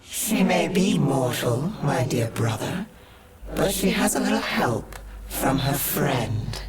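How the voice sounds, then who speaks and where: Bored demigoddess of deception, theatrical, taunting, slow delivery, video game cutscene, loud music behind. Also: female voice, rumbling low, animated, exaggerated voice. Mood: smug triumph. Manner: theatrical, mocking, unhurried.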